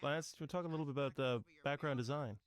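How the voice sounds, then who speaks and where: A man of medium pitch leading a casual audio commentary, conversationally prompting the others to speak. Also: rapid-fire.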